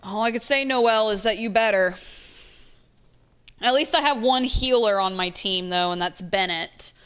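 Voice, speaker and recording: A woman's voice: mid-range voice, moderate pace, a bit bright, smooth. A woman giving casual let's play commentary, thinking aloud in informal, slangy speech.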